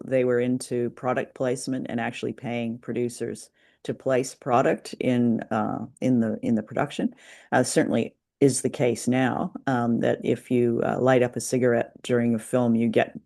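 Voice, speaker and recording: A woman hosting a casual podcast discussion, chatty and deliberate, pausing often as she talks. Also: extremely low pitch, steady tone, creaky, warm tone. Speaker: podcast host. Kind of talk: casual conversational discussion of old radio drama.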